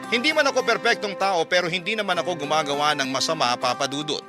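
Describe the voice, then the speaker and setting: Higher-pitched man reading letters over prominent music. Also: quick pace. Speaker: radio host reading listeners' letters. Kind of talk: sentimental storytelling, reading letters aloud. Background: music bed under the voice.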